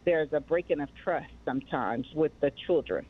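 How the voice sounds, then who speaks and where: A woman's voice: deep voice, moderate pace. A middle-aged woman giving personal answers as an interview guest over a remote call. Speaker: guest, mental health advocacy director. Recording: tinny, phone-like band-limited line.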